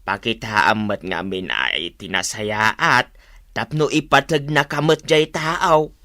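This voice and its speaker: A man's voice: on the low side, slow pace, pushed and bright, no echo, clean studio sound, heavily acted. A man with an Ilocano accent playing a stubborn, smug old husband who teases his wife in a radio comedy-drama.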